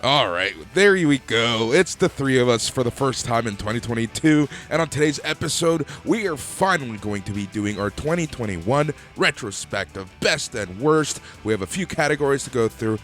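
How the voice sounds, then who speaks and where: A man's voice: medium pitch, lively intonation, normal speed, few pauses, fairly bright tone, soft background music. A man from Canada hosting a casual podcast, chatty and upbeat, laughing easily. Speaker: podcast host.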